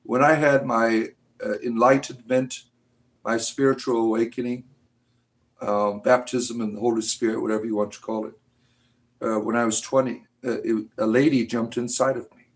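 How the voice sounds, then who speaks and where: A man in his late forties hosting a livestream, rambling loosely and reading out viewer comments, often ending with "right?". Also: mid-range voice. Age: 40s. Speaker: livestream host.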